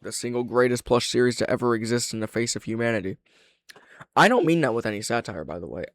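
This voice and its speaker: A man's voice: mid-range voice, quick pace, slight background noise. A young man giving casual, frank commentary as a reaction video host and reviewer, opinionated and prone to swearing.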